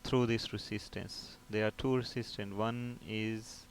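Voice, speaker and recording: Man explaining, with clear background noise. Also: fairly low pitch, fairly even intonation, a little slow. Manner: explanatory, poses and answers own questions. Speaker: repair technician instructor. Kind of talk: informal screen tutorial, explaining step by step.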